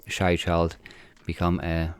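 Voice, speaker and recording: Polish filmmaker, 40s, low and smooth, halting answers full of fillers. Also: male voice, completely flat delivery, no echo. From Poland. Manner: hesitant, many fillers and restarts. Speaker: filmmaker guest. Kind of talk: casual interview answers.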